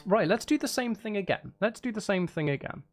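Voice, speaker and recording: A man's voice: high-pitched, animated, fairly quick, soft background music. A man chatting casually on a live stream, rambling and thinking aloud in a hesitant way. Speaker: live streamer composing game music.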